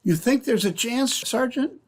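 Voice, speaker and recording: A man playing a guarded, troubled, wrongly jailed young man with a husky voice in an old-time radio drama. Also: very high voice, moderate pace, a little mellow.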